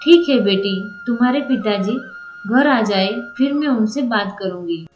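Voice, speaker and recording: Woman as a caring mother, high voice. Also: lively intonation, a little slow, darkish tone, echoey room, muffled recording, soft background music. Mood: reassuring. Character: caring village mother of a grown daughter. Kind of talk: Hindi cartoon story dialogue.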